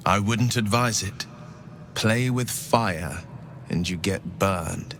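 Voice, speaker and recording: An actor playing a cautious, earnest man in a video game, speaking in a measured, eloquent, admonishing way. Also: lowish voice, slight background noise. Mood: wary, earnest. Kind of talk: video game character acting. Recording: loud background music.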